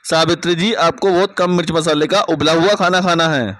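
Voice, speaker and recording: A man's voice: on the high side, steady tone, moderate pace, a bit bright, no echo, natural voice. A man playing a serious, concerned family doctor in a cartoon moral story, explaining things firmly. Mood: serious, advising. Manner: explanatory, firm.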